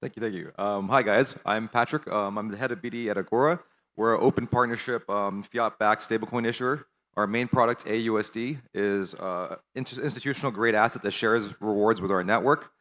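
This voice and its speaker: A man casually introducing his company on a conference panel, relaxed with ums. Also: lowish voice, a little fast.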